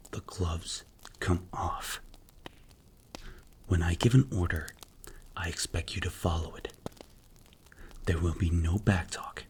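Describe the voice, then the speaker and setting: Man acting as a low-voiced, strict mafia boss. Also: a little hiss or hum. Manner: stern, deliberate, clipped, authoritative. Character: strict, commanding male mafia boss, coolly controlling. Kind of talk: ASMR roleplay acting.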